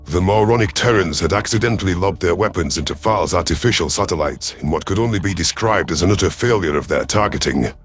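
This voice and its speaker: Deep-voiced male sci-fi story narrator. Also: quick pace, hardly any pauses. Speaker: narrator of science fiction audio stories. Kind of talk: dramatic first-person scripted narration. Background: background music.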